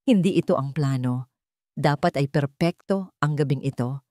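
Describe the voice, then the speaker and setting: Low-pitched woman narrating a dramatic story. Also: moderate pace, warm tone, no echo, studio quality.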